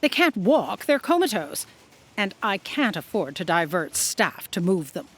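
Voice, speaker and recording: A woman's voice: mid-range voice, sing-song, unhurried, breathy edge. A woman playing an imperious, impatient boss in a comic sci-fi audio drama, bossy, curt and dismissive. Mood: brisk authority. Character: imperious, impatient female editorial boss of destiny bureau.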